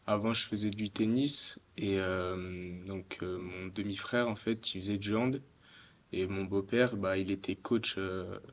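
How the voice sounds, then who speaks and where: A young man in his late teens, a student athlete giving halting interview answers. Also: low-pitched, steady tone. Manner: halting, many fillers. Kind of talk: documentary interview.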